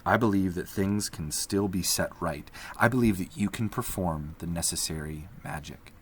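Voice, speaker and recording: An earnest, hopeful boy, as a man reads him in a storybook. His voice sits a little above the reader's deep tone and speaks gently and sincerely. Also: normal speed, slight background noise, natural voice.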